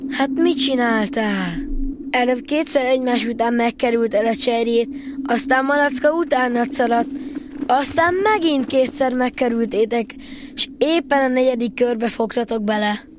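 A woman playing a kind young boy in a children's audio drama, speaking gently and affectionately. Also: a little high, background noise, lightly acted. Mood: fond, amused.

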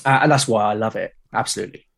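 A man's voice: lowish voice, very fast, gravelly, fairly bright tone. A man in his forties with a British accent, chatting casually as an interview guest, reflective and enthusiastic. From United Kingdom. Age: 40s. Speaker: podcast guest, illustrator.

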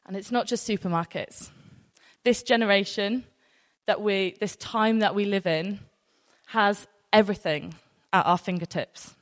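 A woman's voice: mid-range voice, unhurried. British woman preaching in an echoey hall. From near North Wales, UK. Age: 30s. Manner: conversational, anecdotal, with rhetorical questions. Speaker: church speaker. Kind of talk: informal sermon to a congregation. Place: large room, live talk to an audience. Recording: echoey hall with long reverb.

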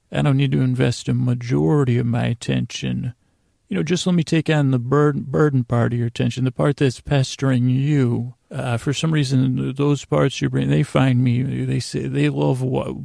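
A man hosting a podcast in a rambling, lighthearted bedtime monologue, halting and drifting into absurd tangents, with a gravelly, creaky voice. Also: mid-range voice, mellow, dry recording, studio quality. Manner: meandering, halting, with trailing tangents. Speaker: podcast host. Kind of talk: rambling bedtime monologue, meant to lull listeners to sleep.

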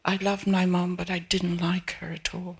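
A woman in her seventies from Peru, an audience member speaking haltingly at a live event, pausing and restarting as she searches for words. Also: on the low side, flat delivery, gravelly, breathy edge. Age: 70s. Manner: hesitant, restarting, soft and searching. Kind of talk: halting personal testimony.

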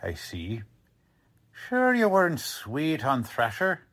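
A man's voice: mid-range voice, wildly swooping pitch, takes it slowly, a bit bright, heavily acted. A man playing a blunt, sarcastic police lieutenant in the style of a 1940s radio drama. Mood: sarcastic, questioning. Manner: blunt, mocking, slangy.

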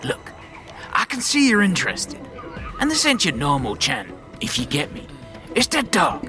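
A man's voice: on the high side, huge pitch swings, moderate pace, music in the background. A man playing a wary, streetwise rat catcher in a fantasy audio drama, slangy, cagey and brusque. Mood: gruff, conspiratorial.

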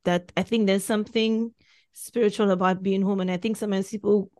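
Expressive woman co-host, dry close sound. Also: medium pitch, fairly quick, dry recording. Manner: animated, expressive, builds on images. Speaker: podcast co-host. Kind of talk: casual chat. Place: home, remote call.

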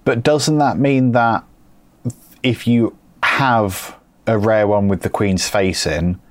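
A man's voice: fairly low pitch, fairly even intonation, slow pace, dry recording. A man co-hosting a podcast in casual chat, dry and sarcastic, teasing his co-host. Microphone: remote call setup.

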